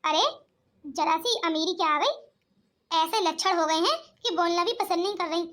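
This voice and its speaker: A woman's voice: very high-pitched, moderate pace, fairly bright tone, a little hiss or hum. A woman voicing a bossy, scheming village mother-in-law in an amateur cartoon comedy, nagging and muttering. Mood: grudging, envious.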